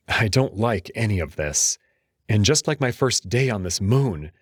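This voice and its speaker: A man's voice: fairly low pitch, dry recording, clean studio sound, full character voice. A man playing an uneasy young student who narrates a visual novel in the first person, introspective and hesitant, acting out his own dialogue.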